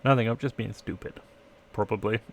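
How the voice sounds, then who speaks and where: A man's voice: fairly low pitch, steady tone, brisk, gravelly. A man live-streaming a let's play, reading game text aloud and chatting in playful asides. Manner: playful asides, trying out accents.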